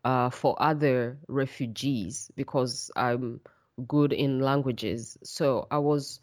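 A woman with a Rwandan accent telling her personal story as an interview guest, in a halting, thoughtful way as she searches for words. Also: rumbling low, fairly even intonation, a little mellow, no echo, studio quality.

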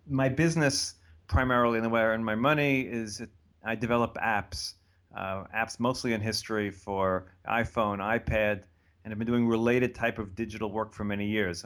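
An American man around seventy, answering interview questions in a relaxed, thoughtful way that wanders and restarts. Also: lowish voice, normal speed. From United States. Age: about 70. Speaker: interview guest. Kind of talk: casual conversational interview.

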